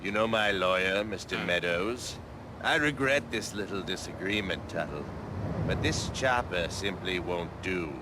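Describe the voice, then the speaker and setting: Menacing rival, deep voice, slow condescending delivery, formal, video game, vehicle noise behind. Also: male voice, lively intonation. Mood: smug disdain. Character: haughty, menacing rival villain, disdainful and formal. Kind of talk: video game villain acting.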